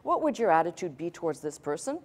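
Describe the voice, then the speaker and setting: A woman's voice: fairly low pitch, sing-song, fast talker, noisy background. A middle-aged woman preaching to a congregation in a blunt, emphatic, conversational way, like an unapologetic teacher. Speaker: pastor. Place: church stage in a large indoor hall.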